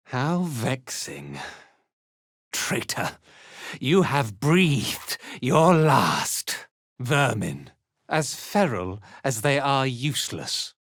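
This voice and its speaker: A man's voice: mid-range voice, a bit bright, no room sound, clean studio sound, exaggerated voice. An actor playing a haughty, cruel male zealot commander in a fantasy game, with an imperious, contemptuous manner. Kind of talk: dramatic video game character lines.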